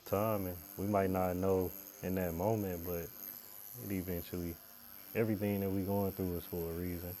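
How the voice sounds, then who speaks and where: Deep mellow young man hosting. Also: little pitch movement, normal speed, a little hiss or hum. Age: 20s. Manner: laid-back, short replies. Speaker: host and tattoo artist. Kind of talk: casual conversation. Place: tattoo studio.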